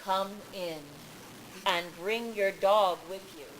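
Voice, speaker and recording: A solemn lord of heaven, read by a woman storyteller makes formal, declamatory pronouncements. The words come very slowly, over faint noise from a live event. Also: mid-range voice, a bit bright, poor audio. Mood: approving. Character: Indra, solemn male god, lord of heaven.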